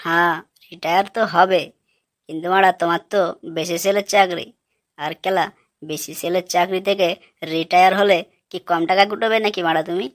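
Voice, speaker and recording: A woman's voice: fairly low pitch, steady tone, a little fast, pauses often, dry recording, clean studio sound, close to an everyday voice. A woman playing a blunt, persuasive male friend in a comic cartoon, with coarse, matter-of-fact talk.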